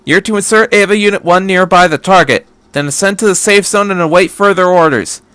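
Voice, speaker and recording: A man's voice: high voice, brisk, a bit bright, clean tone, no echo, pitched above the performer's own voice. Guy reading a no-nonsense military lady in a story, bossy and determined, dry close mic. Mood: brisk, commanding. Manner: commanding, matter-of-fact. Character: brisk, resolute female military officer.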